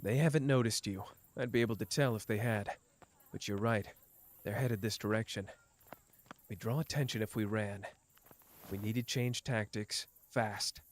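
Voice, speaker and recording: A man's voice: fairly low pitch, a little hiss or hum, natural voice. A young man voicing a clever, protective young man in an ASMR roleplay, talking in a dry, teasing way close to the listener. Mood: urgent. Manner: dry, teasing, quick-witted, close and intimate.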